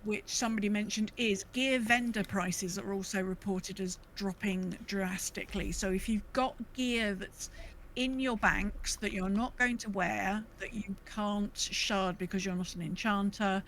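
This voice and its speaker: A woman's voice: mid-range voice, steady tone, a little slow, fairly bright tone, a little hiss or hum. A woman co-hosting a podcast, talking casually through prepared notes. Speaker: podcast co-host.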